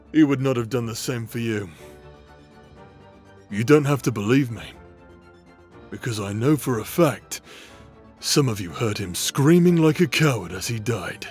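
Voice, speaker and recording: A man's voice: medium pitch, expressive, unhurried, long pauses, a little mellow, close to an everyday voice. Man acting a cocky, sardonic demonic hunter over music. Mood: brash, commanding. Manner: cocky, foul-mouthed, taunting. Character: swaggering demonic ex-paladin hunter, sardonic and vengeful. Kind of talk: audio roleplay drama acting. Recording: music under the voice.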